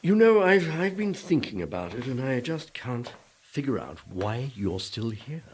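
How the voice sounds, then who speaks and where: A man's voice: mid-range voice, big pitch swings, unhurried, a little hiss or hum. Man acting a pompous Puritan ghost, wildly varied intonation. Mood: uneasy, self-justifying. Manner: pompous, exasperated, self-justifying. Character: ghost of a dour, pompous, guilt-ridden Puritan preacher. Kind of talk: comic radio play acting.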